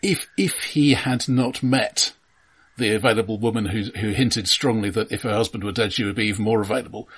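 A man co-hosting a film podcast, explaining his points in a measured, analytical way during casual discussion. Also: fairly low pitch, a bit bright, breathy edge.

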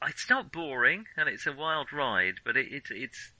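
A man's voice: medium pitch, sing-song, moderate pace, bright, forceful tone, dry recording, poor audio. A man in his forties co-hosting a podcast, talking films casually in a hesitant, self-questioning, rambling way. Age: 40s. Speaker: podcast co-host. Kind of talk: casual film discussion.

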